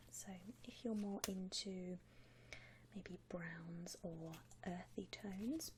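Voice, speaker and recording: A woman playing a gentle vampire coffin saleswoman in a whispered ASMR roleplay, unhurried, with hesitant pauses and soft hums. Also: medium pitch, noisy background, natural voice. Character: softly whispering female vampire coffin saleswoman, attentive and discreet. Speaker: ASMR performer playing a shop consultant.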